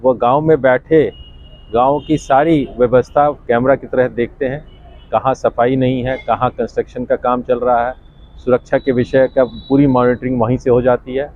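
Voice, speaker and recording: A man's voice: mid-range voice, level tone, moderate pace, no room sound. Male official on narrow, phone-like audio. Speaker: senior police official giving a statement. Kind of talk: spoken media statement.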